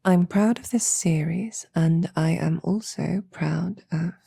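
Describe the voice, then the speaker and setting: A soft-spoken woman with a low, mellow voice gives a gentle outro to listeners. Breath runs through the words, which often drop close to a whisper. Phrases come slowly and a little haltingly, with a coy touch. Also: vocal fry, slightly rough, dry recording. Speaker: audio roleplay creator addressing listeners. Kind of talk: soft-spoken ASMR-style outro and plug.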